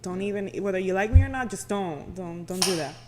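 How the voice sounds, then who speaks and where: A woman's voice: medium pitch, animated, brisk, background noise. A woman who is a radio personality, chatting informally as a podcast guest and slipping into Spanish at times. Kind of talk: casual chat.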